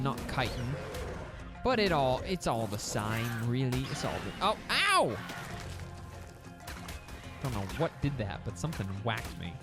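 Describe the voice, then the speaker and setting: A young man casually commentating a survival game, rambling to his in-game pets and his audience. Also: mid-range voice. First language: English. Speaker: gaming YouTuber. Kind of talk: casual let's-play commentary.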